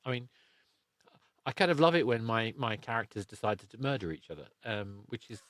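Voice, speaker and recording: A man's voice: mid-range voice, lively intonation, fairly quick, a bit bright, no echo. A man hosting a podcast, chatting casually in a rambling, reflective way. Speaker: podcast host and interviewer. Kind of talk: casual interview chat.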